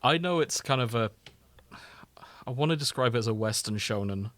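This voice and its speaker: A man co-hosting a podcast in an informal, bantering style, happy to give his opinions. His voice sits at an average pitch, recorded dry with very little room sound. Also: animated, moderate pace, a bit bright, no echo. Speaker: podcast co-host. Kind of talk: casual podcast chat.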